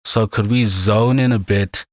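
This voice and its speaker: Host guy from zimbabwe, low voice, thinks out loud and stumbles a bit asking stuff. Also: steady tone, no room sound, studio quality. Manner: thoughtful, halting, restarts while framing questions. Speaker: podcast host. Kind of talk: casual interview questions.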